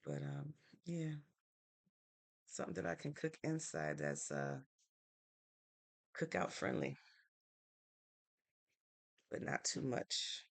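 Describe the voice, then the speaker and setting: A woman from Connecticut hosts a casual live stream and talks through viewer comments in a relaxed, meandering way. Her voice carries a strong, gravelly vocal fry throughout. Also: very deep voice, slow pace, long pauses. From Norwalk, Connecticut, United States. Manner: easygoing and chatty, thinks aloud with fillers.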